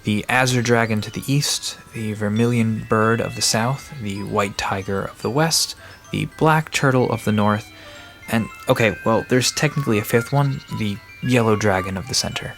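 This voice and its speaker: A man's voice: fairly low pitch, normal speed, a bit bright, soft background music. A man playing an aloof park caretaker in an audio drama, explaining things in a dry, matter-of-fact, guarded way. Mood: dry, explanatory.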